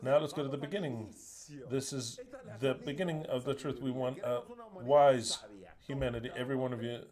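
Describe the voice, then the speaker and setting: A man with an Argentinian accent preaching a sermon, drilling his listeners to repeat phrases after him and swinging between loud stresses and quiet asides. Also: mid-range voice, fairly even intonation, moderate pace, crowd noise.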